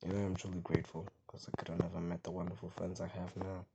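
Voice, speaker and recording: A young man with a very deep voice, rambling casually in a gaming vlog and sometimes mumbling softly. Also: monotone, fast talker, creaky. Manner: rambling, soft and mumbled at times, hard to hear. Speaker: gaming vlogger. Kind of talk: casual rambling talk to camera. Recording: loud background noise with mouse clicks.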